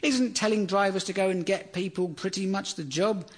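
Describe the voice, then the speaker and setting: A man delivering a deadpan, sardonic comedy monologue that builds into exasperated rants. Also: sits high, normal speed, breathy edge.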